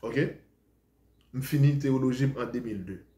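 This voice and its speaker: A man's voice: medium pitch, moderate pace, mellow. Haitian man preaching in a roomy space. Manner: rambling, defensive, switches between Creole and French. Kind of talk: informal preaching talk to followers. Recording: roomy echo.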